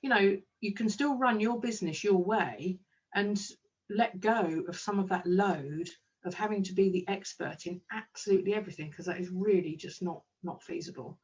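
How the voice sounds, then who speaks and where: A woman's voice: medium pitch, moderate pace, a little mellow, far from the mic, dull audio. A woman, a kinesiologist and business owner, talking enthusiastically in a casual interview with plenty of ums. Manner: enthusiastic, chatty, with frequent ums.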